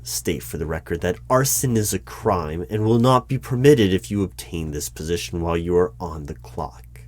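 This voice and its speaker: Man acting a low, deadpan bureau hiring manager. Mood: deadpan disapproval. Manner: formal, measured, deadpan. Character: formal, unflappable hiring manager of a secret bureau. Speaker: interviewer in a one-sided roleplay. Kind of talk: ASMR roleplay, mock job interview. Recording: clear noise with keyboard typing. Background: computer keyboard typing and clicks.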